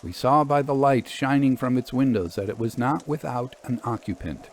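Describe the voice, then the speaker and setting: Expressive male storyteller, faint background noise. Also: medium pitch, normal speed. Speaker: host and story reader. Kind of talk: scripted storytelling narration.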